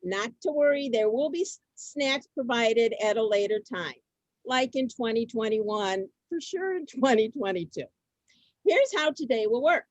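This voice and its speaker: A woman's voice: a little high, unhurried, no echo, lo-fi sound. A woman hosting an amateur comic readers' theater, moving between scripted lines and ad-libs. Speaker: host of a comic contest.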